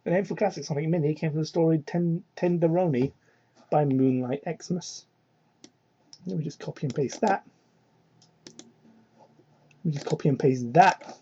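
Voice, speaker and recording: A medium-pitched voice reads fan fiction aloud in a mocking, theatrical way. The reader keeps dropping into incredulous, exasperated asides and swears freely. The sound is that of a cheap hobby microphone. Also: unhurried, long pauses, mellow, highs cut off, slight background noise. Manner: exasperated, incredulous, foul-mouthed. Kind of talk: mocking dramatic reading with ad-libbed asides. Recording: poor-quality hobby microphone.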